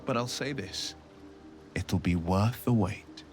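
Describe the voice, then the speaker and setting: An actor playing a gallant, earnest young warrior hero in a video game, courtly and gently teasing in his speech. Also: lowish voice, slow, over music. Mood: teasing, hopeful. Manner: courtly, eloquent phrasing with soft hesitations. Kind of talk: video game dialogue acting.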